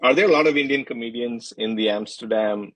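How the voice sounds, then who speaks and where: A man's voice: medium pitch, a little fast, a bit bright, dry recording, poor audio. A man hosting a casual interview, asking long, rambling questions with plenty of hesitations.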